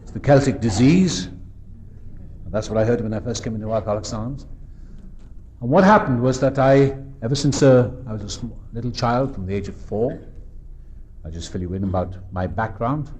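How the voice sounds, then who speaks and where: A Welsh man in his fifties gives an earnest, reflective recovery talk at a meeting, with pauses and restarts. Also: medium pitch, a little mellow, a little hiss or hum. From South Wales. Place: meeting room.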